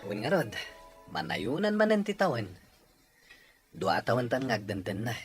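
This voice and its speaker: A man's voice: mid-range voice, sing-song, normal speed, faint music behind, natural voice. A man playing an easygoing, gracious husband in a family audio drama, with an Ilocano accent. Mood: agreeable. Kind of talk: acted family drama dialogue.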